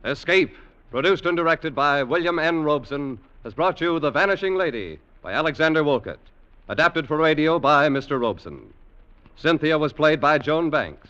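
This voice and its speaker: A man's voice: fairly high pitch, expressive, moderate pace, smooth. A man reading 1940s radio announcements in a formal, polished manner. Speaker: program announcer. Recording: muffled old radio broadcast. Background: loud orchestral music.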